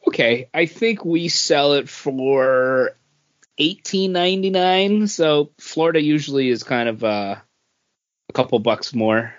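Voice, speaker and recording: A man's voice: a little high, expressive, measured pace, lo-fi sound. A man chatting casually as a podcast host.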